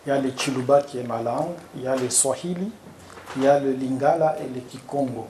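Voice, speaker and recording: A middle-aged man with a Congolese French accent speaks in a warm, mellow voice, pausing often as he searches for words. The room around him is echoey, and a faint hum sits under his voice. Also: medium pitch, fairly even intonation. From Democratic Republic of the Congo. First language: Tshiluba. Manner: slow, halting explanations with many hesitations. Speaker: parish priest. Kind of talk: interview.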